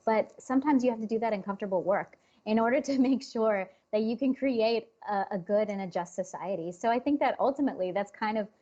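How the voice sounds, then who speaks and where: A woman guest in an interview who answers thoughtfully, halting and restarting her sentences. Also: a little high, warm tone. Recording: dull lo-fi sound.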